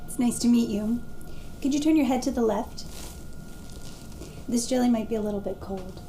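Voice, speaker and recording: A woman's voice: a little high, moderate pace, warm tone, a little hiss or hum, close to an everyday voice. A woman playing a polite, careful exam candidate describing a procedure step by step. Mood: polite, focused. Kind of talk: scripted skill demonstration.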